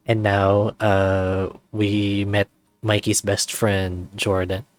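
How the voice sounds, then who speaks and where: A man's voice: on the low side, little pitch movement, drawn-out words, no echo, soft background music. A man narrating a visual novel and chatting casually as the host of a read-aloud channel.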